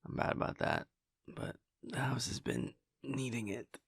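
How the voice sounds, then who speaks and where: Guy playing a playful vampire boyfriend, soft husky almost whispery voice, pitch moves around a lot, teasing roleplay audio. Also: big pitch swings, a little slow, dry recording, clean studio sound, full character voice. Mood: relaxed, affectionate. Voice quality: breathy, gravelly, often dropping near a whisper. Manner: wry, teasing, hesitant, chuckling casual delivery. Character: playful affectionate male vampire boyfriend at home. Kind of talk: intimate boyfriend audio roleplay, casual chat.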